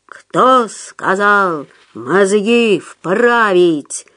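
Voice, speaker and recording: A woman voicing a brutal, bullying man in an audiobook, hoarse and menacing, barking and hissing orders at underlings. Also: medium pitch, expressive, unhurried, close to an everyday voice. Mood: menacing, contemptuous. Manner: menacing, hissing and shouting commands. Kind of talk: audiobook character voice.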